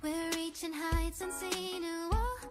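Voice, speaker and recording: A woman's voice: very high-pitched, slow. A woman singing upbeat pop vocals over a full music track. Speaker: pop singer.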